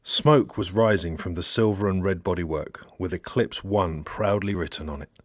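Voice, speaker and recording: A man reading a satirical novel aloud as a narrator, with dramatic, expressive storytelling. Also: low-pitched, normal speed, dry recording, studio quality. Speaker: audiobook narrator.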